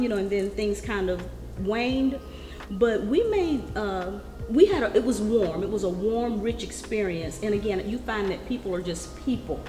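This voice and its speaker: A woman in her fifties talks through her life story, warm and chatty with frequent pauses. Her voice rings in a roomy space with a long reverb tail. Soft music plays faintly underneath. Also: medium pitch. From South Carolina, US. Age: 50s. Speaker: interviewee. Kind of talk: casual conversation. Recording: echoey room with long reverb.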